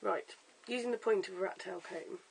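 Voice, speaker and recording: A hoarse, croaky-voiced vlogger giving a casual at-home wig tutorial in a chatty, stop-start way, filmed on a camera's own mic. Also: mid-range voice, a little fast, a little hiss or hum, poor audio. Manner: chatty, stop-start with fillers and self-corrections. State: hoarse, lost voice. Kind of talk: casual how-to demonstration.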